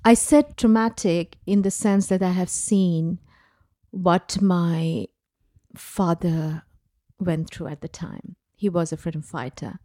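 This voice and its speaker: A woman's voice: lowish voice, dark tone, dry recording, clean studio sound. A woman from Bangladesh, a filmmaker guest in an interview, speaking thoughtfully with hesitations. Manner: slow, thoughtful, hesitant with fillers.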